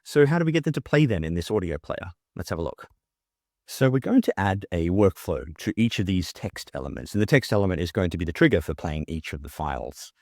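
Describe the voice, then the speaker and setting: A man with a low-pitched voice presents a step-by-step software walkthrough. He talks informally, thinking aloud as he demonstrates each step. The voice-over is close and dry, with very little room sound. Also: big pitch swings, a little fast, no room sound. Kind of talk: casual screen-share walkthrough.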